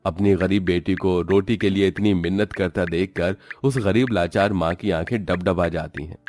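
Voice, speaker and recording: A man's voice: low-pitched, fairly even intonation, moderate pace, darkish tone. A man narrating a scripted, dramatic story.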